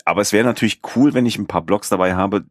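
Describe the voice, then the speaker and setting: A man's voice: fairly low pitch, fast talker, no echo, studio quality. A man hosting a podcast in casual chat, rambling with many fillers and restarts. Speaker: podcast host.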